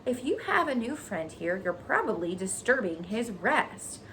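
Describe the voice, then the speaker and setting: A woman's voice: fairly high pitch, big pitch swings, a little slow, a bit bright, a little hiss or hum, pitched above the performer's own voice, low-quality recording. A woman voicing a stern old sheep who lectures and scolds in a storybook reading. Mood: scolding.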